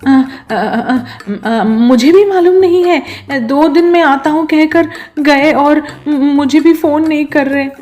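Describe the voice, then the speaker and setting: A woman's voice: sits high, slow pace, few pauses, a little mellow. A woman playing a worried, disapproving village wife and reluctant accomplice in a comic story. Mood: flustered. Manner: worried, stammering when cornered.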